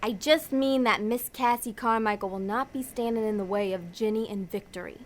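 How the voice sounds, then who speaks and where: Woman acting a hard-nosed demon schemer, higher-pitched. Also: brisk, lightly acted. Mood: scheming. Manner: blunt, colloquial, insistent. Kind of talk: audio drama acting.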